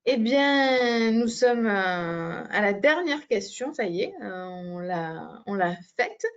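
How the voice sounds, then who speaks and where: A woman's voice: mid-range voice, expressive, slow pace, clean tone. A woman hosting a webinar, speaking informally as she gives thanks and announcements. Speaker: webinar host for a medical device company. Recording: muffled, band-limited webinar audio.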